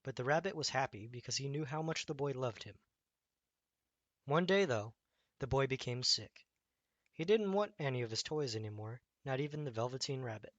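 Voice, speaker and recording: A man reading a children's bedtime story aloud as an expressive storyteller. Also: mid-range voice, moderate pace, no room sound, muffled recording.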